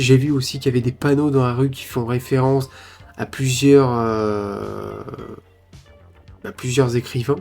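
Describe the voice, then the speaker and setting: A man hosting a casual trailer reaction, excited and rambling, with many fillers and restarts. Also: medium pitch, gravelly. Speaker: channel host reacting to trailers.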